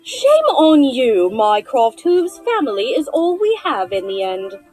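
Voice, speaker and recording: A woman acts out a long-suffering landlady pony in an audio drama. Her voice is husky and a touch high, rising and falling freely as she scolds with fondness. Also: big pitch swings, measured pace, a little mellow, no echo, soft background music, exaggerated voice. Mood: reproachful.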